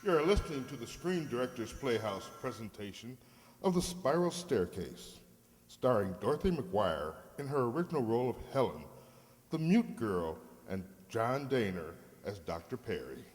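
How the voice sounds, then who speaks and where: A man's voice: medium pitch, big pitch swings, normal speed. A man working as an announcer, presenting in the formal style of old-time radio. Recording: echoey hall radio-play recording.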